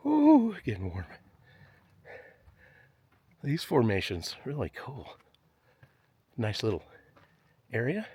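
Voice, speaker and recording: Slow-talking man chatting on a hike. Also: medium pitch, huge pitch swings, mellow, slightly rough. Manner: chatty, self-deprecating, talks to himself. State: tired, sighing from the climb. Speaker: vlogger hiking a trail. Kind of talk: casual narration while hiking. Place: outdoors on a desert canyon trail.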